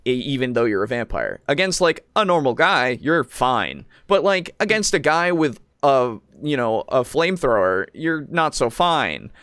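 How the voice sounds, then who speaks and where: A man's voice: medium pitch, sing-song, moderate pace, hardly any pauses, a bit bright, smooth, no echo, studio quality. A man co-hosting a casual tabletop roleplaying discussion, explaining things in a rambling way with some swearing. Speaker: podcast co-host.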